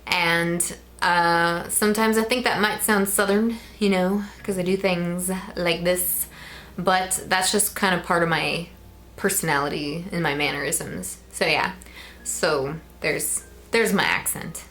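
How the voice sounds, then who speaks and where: A young woman in her twenties talks casually to camera in a Midwestern American accent, rambling along with plenty of ums. Her voice sits a little low for a woman, and a faint hiss runs under the recording. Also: fairly even intonation, unhurried, a bit bright. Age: 20s. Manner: chatty, rambling, with frequent ums. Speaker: vlogger.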